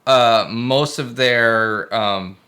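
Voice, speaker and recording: A man's voice: medium pitch, very slow, bright, forceful tone. A man co-hosting a casual tech chat, agreeing often and laughing easily. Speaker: co-host.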